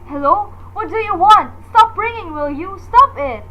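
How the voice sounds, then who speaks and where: High female voice, actress acting, panicky demanding wife for radio suspense, melodramatic delivery, muffled lo-fi sound. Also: expressive, unhurried, pushed and bright, heavily acted. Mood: hysterical. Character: nervous, imperious married woman spiralling into panic. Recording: dull lo-fi recording with faint noise.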